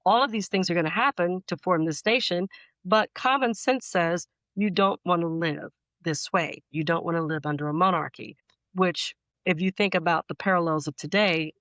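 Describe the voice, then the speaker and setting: American woman, podcast guest. Lowish voice, thoughtful and emphatic explainer, very dry recording. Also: normal speed, fairly bright tone, no room sound. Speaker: guest poet and author. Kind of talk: conversational podcast interview.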